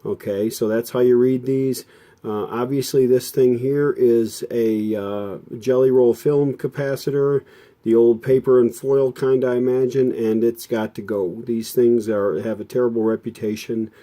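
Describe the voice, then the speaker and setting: A man's voice: medium pitch, fairly even intonation, measured pace, dark tone. An American man narrating hands-on electronics repair casually, in a plain-spoken, folksy, explanatory way. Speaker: host, electronics restorer.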